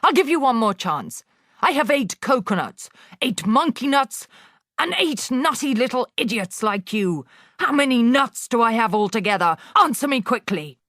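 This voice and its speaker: A woman voicing a bullying female headmistress who shouts insults at a pupil. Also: a little high, sing-song, normal speed, dry recording, clean studio sound, pitched above the performer's own voice. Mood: furious, bullying.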